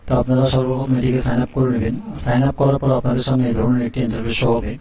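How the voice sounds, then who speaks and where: A man hosting a step-by-step software tutorial. He keeps checking in with the viewer as he explains each click. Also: mid-range voice, very fast, reverberant, distant mic. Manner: steady instructional, frequent "ঠিক আছে?" check-ins. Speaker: tutorial host. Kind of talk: screen-recorded software tutorial.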